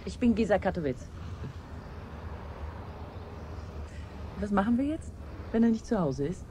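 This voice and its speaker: A sardonic, impatient female delivery driver, played by an actress in a vintage radio drama. Her pitch moves in animated swings as she needles and teases. A faint hiss sits behind the voice. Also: quick pace, natural voice. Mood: wry, teasing. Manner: wry, teasing, impatient. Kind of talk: 1980s German radio play acting. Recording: hissy vintage radio play recording.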